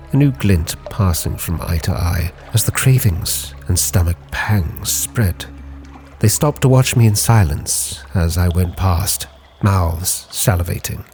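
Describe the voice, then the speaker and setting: A man's voice: lowish voice, a little mellow. A man giving solemn, brooding, theatrical first-person narration for a roleplay story. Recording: prominent music behind the voice.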